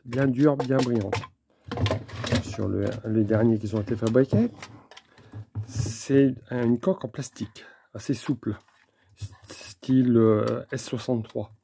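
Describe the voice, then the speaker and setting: A man presenting an unscripted technical demonstration, speaking methodically in short, halting phrases. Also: medium pitch, mellow. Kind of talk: unscripted hands-on explanation. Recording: clear background noise with clicks.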